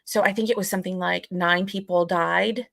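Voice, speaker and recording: A woman co-hosting a podcast in casual conversation, speaking in a halting way with frequent pauses. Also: on the low side, fairly even intonation, gravelly, a bit bright, no echo, studio quality. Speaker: podcast co-host.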